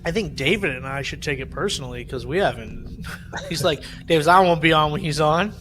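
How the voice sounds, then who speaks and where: A man's voice: on the high side, lively intonation, brisk, a bit bright, a little hiss or hum. A man chatting casually as a podcast panelist, telling personal stories in a rambling, conversational way. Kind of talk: casual chat, personal storytelling.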